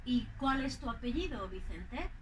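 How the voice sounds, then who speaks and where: An older woman from Madrid with a Madrid Spanish accent, acting out a slow, clearly spoken teaching dialogue outdoors on a clip-on mic. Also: on the high side, lively intonation, noisy background. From Spain. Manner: playful, deliberate spelling out of words. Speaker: language teacher in a scripted dialogue. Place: outdoors in front of a green wall.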